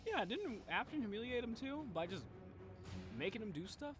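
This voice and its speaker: A man's voice: very high-pitched, big pitch swings, moderate pace. A man doing casual, rambling livestream commentary as he reacts to game cutscenes in an informal way.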